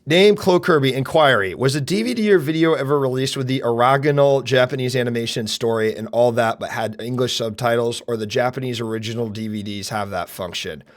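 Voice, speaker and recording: A young man with a deep voice, streaming and chatting casually, loud and brash, swinging from shouts to mutters. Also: fairly quick, hardly any pauses. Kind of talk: casual live-stream chat.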